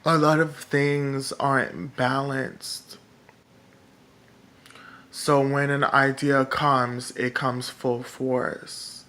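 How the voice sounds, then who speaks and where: A man with a feminine-sounding voice, talking in a rambling vlog monologue that is halting and full of fillers and restarts. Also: mid-range voice, little pitch movement, sharp tone. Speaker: political vlogger. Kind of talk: casual monologue to camera.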